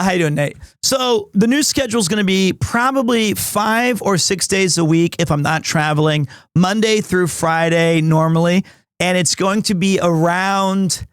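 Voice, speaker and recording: A man, chatting loudly and rambling to his stream viewers from a room at home. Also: high-pitched, smooth, no room sound, studio quality. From United States. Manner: loud, big voice, rambling with many restarts. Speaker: livestreamer. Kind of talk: casual chat with stream viewers.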